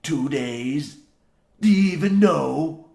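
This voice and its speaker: An actor playing a grizzled, half-mad old coal miner, boastful and ominous, rambling theatrically in rustic archaic phrasing in a comic old-time radio horror play. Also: male voice, on the high side, lively intonation, slow pace, vocal fry, mellow, exaggerated voice. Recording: roomy, echoing sound.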